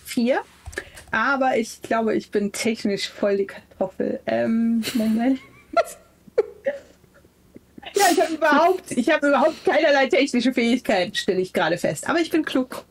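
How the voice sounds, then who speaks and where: A woman takes part in an online tabletop roleplaying session. Her voice is fairly high. She talks casually, describing what her character does and working out the rules. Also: moderate pace. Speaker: player in a tabletop roleplaying game. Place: indoors on an online video call.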